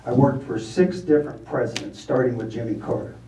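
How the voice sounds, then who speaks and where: An older retired man giving measured, blunt testimony at a county meeting. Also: medium pitch, dark tone. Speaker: public commenter. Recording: echoey room, distant mic, faint noise.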